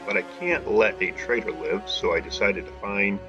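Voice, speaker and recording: A man's voice: lowish voice, lively intonation, normal speed, natural voice. A man reading the lines of a vengeful male ex-pirate rogue from a game in a plain voice, over dull lo-fi audio with faint music. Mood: blunt. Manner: reads dialogue aloud in a plain voice.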